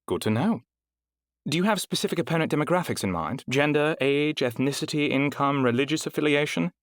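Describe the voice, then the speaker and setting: A man voicing a polished female fight registrar with a smooth accent, asking brisk formal questions. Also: fairly high pitch, lively intonation, no room sound, studio quality, pitched above the performer's own voice, lightly acted.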